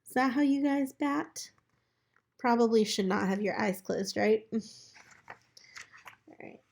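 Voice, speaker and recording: A woman reading a children's book aloud in an expressive way, as a storyteller talking to children. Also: a little high, slow, vocal fry. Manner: animated character voices for dialogue, chatty asides to children.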